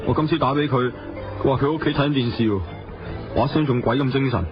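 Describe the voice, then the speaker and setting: A man performs a practical, sighing friend in a radio play, in a mid-pitched voice with a Hong Kong Cantonese accent. He is matter-of-fact and sceptical yet caring. The sound is a little dull, with faint music. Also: quick pace, lightly acted. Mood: pragmatic, sceptical. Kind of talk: radio drama acting.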